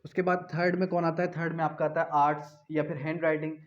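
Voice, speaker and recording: Male law teacher, Pakistani accent, slightly high pitch, didactic and repetitive with English terms mixed in; dull recording. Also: little pitch movement, quick pace. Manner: didactic, repeats phrases and asks himself questions. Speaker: law lecturer. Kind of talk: explanatory law lecture mixing in English terms. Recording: dull, lo-fi sound.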